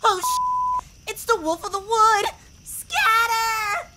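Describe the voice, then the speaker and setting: A man voicing a small, bossy villainous rabbit in a squeaky, theatrical voice for an audio drama. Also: huge pitch swings, slow pace, shouting, very noisy, full character voice. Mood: panicked. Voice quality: squeaky, shrill. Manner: theatrical, exclamatory.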